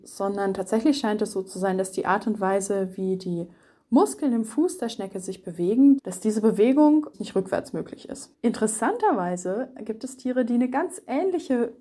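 A woman's voice: medium pitch, animated, normal speed, warm tone. A woman animal expert explaining things carefully for a young audience. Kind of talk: explanatory interview answers for children.

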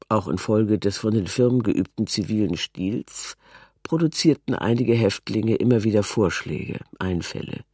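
A man's voice: fairly low pitch, warm tone, slightly rough, dry recording, clean studio sound. A man narrating a scripted documentary text in a terse, factual, report-like manner.

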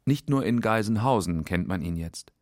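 A man's voice: lowish voice, expressive, moderate pace, darkish tone, no room sound, studio quality. A man reading scripted narration for a radio documentary. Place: dry studio.

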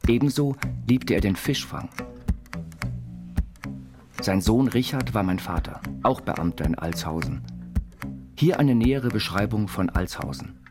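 An actor playing an eccentric self-taught inventor, narrating his life story in measured, quaintly formal phrases for an audio biopic. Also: on the low side, lively intonation. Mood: matter-of-fact reminiscence. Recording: studio voice over loud music.

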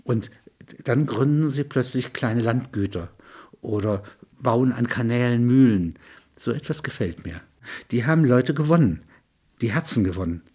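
A man with a husky voice of medium pitch reads literary prose. His delivery is measured and reflective, as if thinking the material through. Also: animated, warm tone. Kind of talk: literary narration with reflective talk.

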